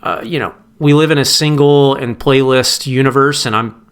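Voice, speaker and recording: Clear-voiced man in reflective interview talk. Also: medium pitch, fairly even intonation. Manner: halting, reflective. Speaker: musician, podcast guest.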